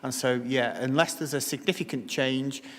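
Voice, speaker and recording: Measured man in a reverberant chamber. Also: medium pitch, fairly even intonation, a bit bright. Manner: measured, careful, with restarts. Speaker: council officer answering questions. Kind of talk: formal meeting explanation.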